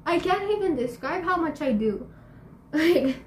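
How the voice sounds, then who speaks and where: A teenage girl chatting in a bubbly way in a casual home vlog, laughing often and filling pauses with fillers. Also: high-pitched, lively intonation, a little hiss or hum. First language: Spanish and English. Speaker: YouTuber. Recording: echoey room, faint ambience.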